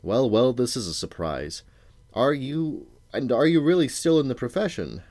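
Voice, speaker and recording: A man's voice: mid-range voice, sing-song, normal speed, darkish tone, dry recording, voice pushed up. Old wizard, genial on top and sneering beneath, voiced by a male reader, silky, raised storybook voice. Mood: falsely delighted. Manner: purring, silky, mock-affectionate.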